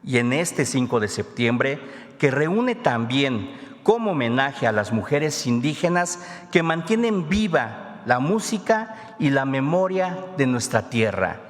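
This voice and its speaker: Man, Ayuuk first language, solemn measured reading, long hall reverb. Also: medium pitch, very animated. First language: Ayuuk (Mixe). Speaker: segment presenter. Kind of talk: read scripted narration.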